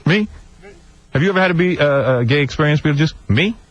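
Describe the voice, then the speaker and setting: A man's voice: on the high side, animated, fast. A male radio host asking a guest teasing, leading questions and laughing often. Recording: lo-fi radio broadcast.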